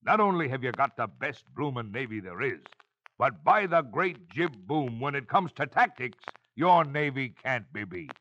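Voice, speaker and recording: An actor playing a hearty old sailor with salty nautical talk and theatrical swagger, in mid-century radio style. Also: male voice, medium pitch, very animated, moderate pace, close to an everyday voice. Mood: rousing, proud. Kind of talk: 1940s-50s radio acting, scripted monologue. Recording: dull, lo-fi old radio recording.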